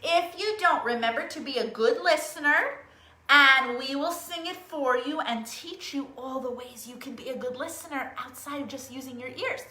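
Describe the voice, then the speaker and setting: Woman, children's storytime leader, high-pitched, encouraging, coaxing, simple questions to kids, echoey room. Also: moderate pace, pushed and bright. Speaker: children's librarian leading a musical storytime. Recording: roomy, echoey room sound.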